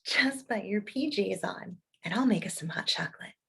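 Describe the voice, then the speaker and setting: Woman playing female pig, motherly, calm, soothing, soft, husky, storybook. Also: on the high side, normal speed.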